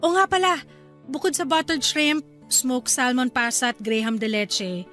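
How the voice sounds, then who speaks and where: A chatty young wife, high-voiced. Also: female voice, unhurried, soft background music. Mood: cheerful. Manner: bright, chatty and eager. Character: cheerful young wife and new mother. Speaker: voice actor in a radio drama. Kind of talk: radio drama acting.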